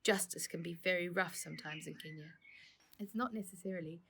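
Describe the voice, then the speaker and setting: A man's voice: high voice, fairly quick, creaky, sharp tone, slight background noise, heavily acted. A woman voicing a troubled, kindly uncle, a factory owner on the run, in an earnest storybook reading. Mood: wary, grave. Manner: earnest, troubled, exclaiming in surprise.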